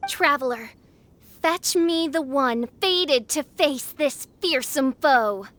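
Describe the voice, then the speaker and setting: A woman's voice: high-pitched, expressive, takes it slowly, a bit bright, heavily acted. A woman voicing a theatrical teenage girl posing as a haughty princess in a video game, with grandiose, archaic speech. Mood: grave, commanding. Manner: grandiose, archaic, alliterative, melodramatic. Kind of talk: video game character dialogue.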